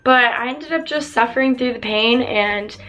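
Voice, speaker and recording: Young girl vlogging, kinda raspy cuz she lost her voice, highish voice, just chatting. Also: moderate pace, bright, forceful tone, soft background music. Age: young adult. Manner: open, chatty, answering viewer questions. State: slightly raspy, losing her voice. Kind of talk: casual chat to camera.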